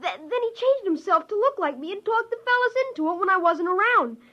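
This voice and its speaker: A woman's voice: very high-pitched, animated, fairly quick, a bit bright. A woman playing an earnest, distraught twelve-year-old boy in an old radio drama. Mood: defensive pleading. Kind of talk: 1950s radio drama acting. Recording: dull old radio recording.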